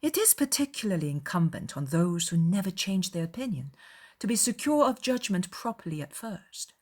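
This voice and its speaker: A woman's voice: on the low side, big pitch swings, moderate pace, a little mellow. Woman acting a spirited, arch young lady. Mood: probing, arch. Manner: arch, articulate, emphatic. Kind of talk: dramatized audiobook acting.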